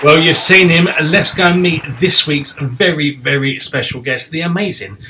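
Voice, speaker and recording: A man's voice: fairly high pitch, normal speed, sharp tone, some chatter behind, a little hiss or hum. A man hosting an online talk show in a chatty, informal style.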